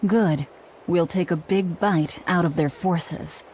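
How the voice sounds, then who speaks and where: Tough grim fantasy game girl, lowish voice, blunt and terse. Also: slow, a little mellow, a little hiss or hum, low-quality recording. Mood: grim determination. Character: grim, determined, tough young female antihero.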